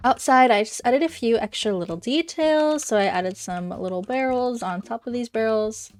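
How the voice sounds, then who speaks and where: A woman's voice: fairly high pitch, a little slow. Chatty young woman, slightly congested. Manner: chatty, rambling, self-correcting. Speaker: Minecraft YouTuber. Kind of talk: casual gameplay commentary.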